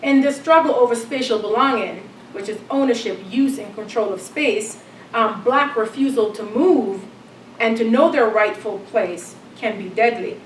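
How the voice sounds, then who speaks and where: A middle-aged woman from Jamaica giving an academic lecture, reading in a measured way with frequent ums. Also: on the high side, reverberant, recorded from a distance, a little hiss or hum, low-quality recording. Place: lecture hall.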